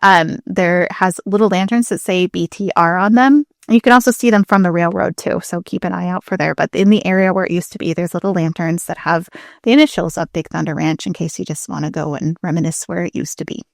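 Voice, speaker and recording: A woman's voice: fairly low pitch, steady tone, brisk, creaky, dry recording, clean studio sound. A woman co-hosting a podcast as a historian, explaining things in a casual, conversational manner. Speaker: podcast co-host.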